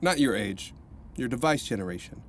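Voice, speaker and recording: An actor playing a cheerful male counselor who talks in an animated, rambling way. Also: medium pitch, moderate pace, a little hiss or hum, lightly acted. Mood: cheery. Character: cheerful male rehab counselor, upbeat and chatty.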